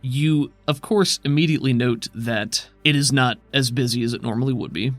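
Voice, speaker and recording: Gravelly game master narrating calmly. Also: male voice, medium pitch, no room sound, faint music behind. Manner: descriptive, measured.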